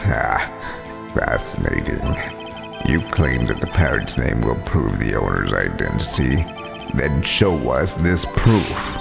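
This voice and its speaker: Man as an arrogant low, gravelly villain prosecutor. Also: sing-song, exaggerated voice. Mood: sneering. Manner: slow, haughty, drawn-out delivery. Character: cold, arrogant male prosecutor, menacing and disdainful. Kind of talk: voiced playthrough of a courtroom game. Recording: game music behind.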